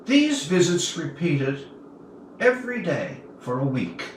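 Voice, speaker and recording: A man of about seventy from Newfoundland, Canada, reading his own tale aloud as a storyteller, with deliberate, dramatic pauses. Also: fairly high pitch, big pitch swings. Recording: roomy, distant mic, faint background noise.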